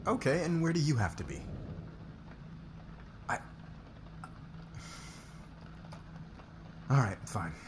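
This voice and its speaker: A man acting in an intimate ASMR roleplay as a demanding, guarded noble boss weighed down by debt, speaking haltingly with sighs. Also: medium pitch, very animated, background noise, lightly acted. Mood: impatient, demanding.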